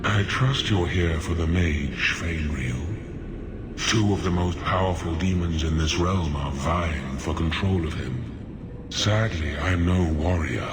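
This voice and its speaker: An actor playing a languid, sly sloth demon in a video game, drawling smugly as it bargains. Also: male voice, sits low. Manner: slow, drawling, smugly unhurried. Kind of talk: video game cutscene acting. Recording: very noisy game capture with background ambience.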